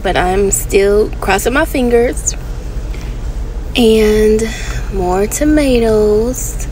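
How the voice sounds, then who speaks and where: A woman's voice: medium pitch, darkish tone, slight background noise, rough recording. A woman narrating a casual garden tour as channel host, speaking informally and gushing over small details.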